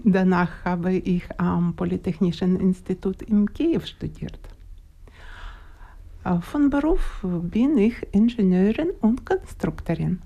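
An older woman in her sixties with a Ukrainian accent talks slowly, with a gravelly, creaky voice. Her tone is dark and mellow. She searches for her words as a guest in a radio studio. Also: lowish voice, muffled recording. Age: 60s. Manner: slow, halting, searching for words. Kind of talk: live studio interview.

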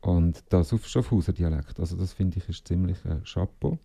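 A man's voice: deep voice, moderate pace, vocal fry, mellow, slightly rough. A man hosting a radio interview, asking long chatty questions. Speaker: radio host.

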